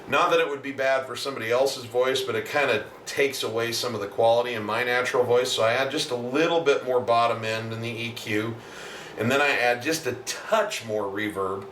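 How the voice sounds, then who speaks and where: A man with a deep voice, a musician talking casually and at length in a video diary, explaining with many asides. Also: fairly bright tone. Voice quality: deep natural voice. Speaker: singer-songwriter and self-producing musician. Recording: roomy, distant mic, faint background noise.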